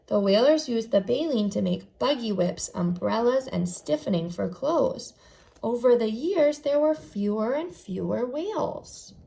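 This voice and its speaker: A woman's voice: fairly high pitch, lively intonation, unhurried, vocal fry, warm tone, poor audio. A woman teacher giving a read-aloud lesson to young children, speaking in an instructional, enunciated way. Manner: instructional, enunciated, posing questions to young listeners. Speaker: first-grade teacher.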